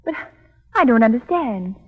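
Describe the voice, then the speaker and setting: A woman's voice: fairly high pitch, sing-song, moderate pace, slightly rough. An actress playing an elegant, inquisitive society woman in old radio drama, eager and refined. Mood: curious. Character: elegant, curious society lady companion of sleuth. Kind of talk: 1930s radio drama acting. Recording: dull old radio recording.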